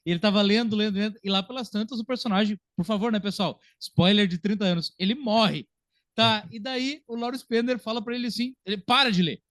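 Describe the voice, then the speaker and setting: A man with a Brazilian accent hosting a casual film podcast in an animated, jokey manner. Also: high-pitched, fairly quick, no echo.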